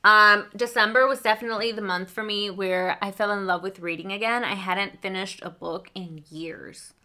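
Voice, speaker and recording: A woman's voice: medium pitch, fairly even intonation, normal speed, pushed and bright. A young woman from Mexico whose first language is Spanish, chatting casually through a book review with lots of fillers and asides. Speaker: book vlogger.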